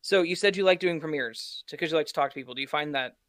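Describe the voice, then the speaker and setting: A man co-hosting a podcast who asks halting, self-conscious questions. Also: fairly high pitch, a bit bright, no echo, studio quality. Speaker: podcast co-host. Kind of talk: casual podcast chat.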